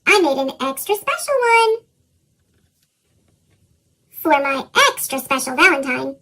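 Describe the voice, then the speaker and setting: A woman voicing an excitable young animal schoolchild from a picture book, bubbly and exclaiming, through a squeaky pitched-up chipmunk effect. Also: measured pace, slight background noise, heavily acted. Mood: excited.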